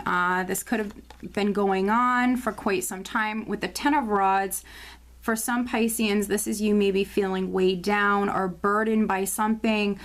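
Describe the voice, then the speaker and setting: A woman speaks to her viewers as she lays out and reads tarot cards. Her voice is slightly hoarse and worn. Her delivery is loose and chatty, full of little fillers and repeated words. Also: medium pitch. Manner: chatty, many fillers and restarts, intuitive readings. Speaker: tarot reader. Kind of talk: casual tarot forecast video.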